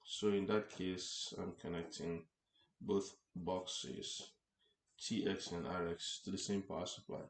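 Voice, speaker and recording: Male instructor, low register, halting explanatory delivery with rephrasing, roomy echoing recording. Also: steady tone. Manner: halting, repeats and rephrases phrases, checks with "right?". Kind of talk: explanatory tutorial lecture, unscripted. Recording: echoey, roomy sound.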